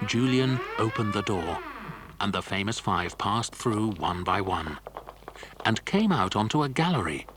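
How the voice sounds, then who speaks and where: A man narrating a scripted children's story in an expressive storytelling manner. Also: lowish voice, slight background noise.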